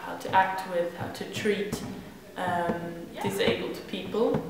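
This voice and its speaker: A woman's voice: lowish voice, flat delivery, takes it slowly. A young woman volunteering, speaking thoughtfully and searching for words. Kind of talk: casual interview testimonial. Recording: echoey room, voice far from mic, noise.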